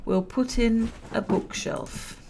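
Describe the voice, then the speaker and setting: A woman commentating a building game at a relaxed pace, chatty and self-amused, and she is losing her voice. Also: fairly high pitch, slow, creaky, slight background noise. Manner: chatty, self-amused, laughs at own jokes. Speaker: gameplay commentator. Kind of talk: relaxed let's-play commentary.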